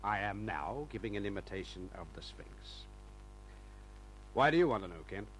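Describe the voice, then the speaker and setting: An actor playing a gruff, sarcastic police inspector in an old-time radio crime drama, curt and irritable. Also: male voice, lowish voice, lively intonation, normal speed. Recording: noisy humming old radio recording.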